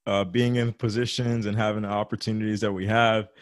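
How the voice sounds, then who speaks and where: A man's voice: on the low side, level tone, fairly quick, no echo, studio quality. A man hosting a casual interview, encouraging his guest and affirming him, with plenty of "uh" and "you know". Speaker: interviewer host.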